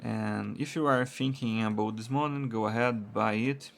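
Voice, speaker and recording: Man, Brazilian Portuguese accent, gravelly low voice, unhurried halting English, faint hiss behind. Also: fairly even intonation. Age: adult, married. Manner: halting non-native English with many uh fillers. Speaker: product reviewer. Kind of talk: casual product review.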